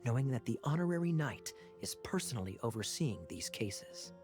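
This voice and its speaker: An actor playing a suave, sly young knight captain in an anime-style video game, smooth-talking and formally courteous. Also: male voice, mid-range voice, very animated, normal speed, darkish tone, faint music behind, lightly acted. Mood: suave, evasive. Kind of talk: English anime game voice acting.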